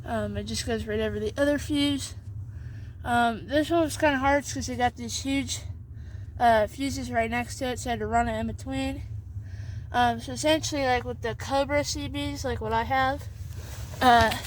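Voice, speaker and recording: A woman giving a casual, halting how-to walkthrough in and around a pickup truck, leaning on fillers and repeating herself as she explains. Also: on the high side, steady tone, slight background noise, low-quality recording. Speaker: video host showing a vehicle setup.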